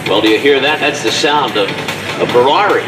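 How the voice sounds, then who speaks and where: A man's voice: mid-range voice, lively intonation, moderate pace. A man commentating live at an outdoor air show, calling the maneuvers with enthusiastic, exclamation-filled play-by-play from the announcer stand. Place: outdoor airfield, voice over a public address system. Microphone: announcer mic over loudspeakers, recorded from far off by a spectator camera. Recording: far-off echoey PA sound with loud music.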